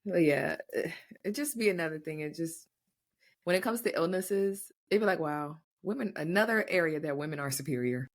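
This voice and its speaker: Woman, podcast co-host, animated, blunt, expressive pitch, casual chat. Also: moderate pace. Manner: animated, blunt, swears freely. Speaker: mother.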